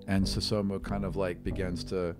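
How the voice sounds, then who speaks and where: A man with a fairly low voice acts as game master of a tabletop role-playing game. He tells the story in a casual, conversational, halting way, with music clearly playing behind him. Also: level tone.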